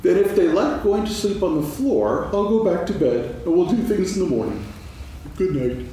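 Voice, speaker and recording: An actor playing a gentle, simple-minded, kindly bear in a staged reading of a children's story, in an echoey hall with faint hiss. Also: male voice, sits high, sing-song, moderate pace, far from the mic. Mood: sleepy. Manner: gentle, musing, polite.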